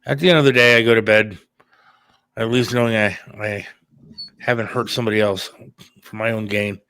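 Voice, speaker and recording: A man hosting a talk show in casual conversation, with hesitant, halting phrasing. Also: on the low side, steady tone, bright, forceful tone, clear, resonant tone, no room sound. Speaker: talk show host, radio producer.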